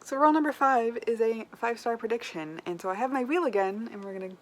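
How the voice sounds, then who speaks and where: A woman's voice: a little high, fast, poor audio. A woman chatting casually as a book vlogger, with an informal, wryly self-deprecating manner. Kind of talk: casual chat to camera. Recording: clear background noise.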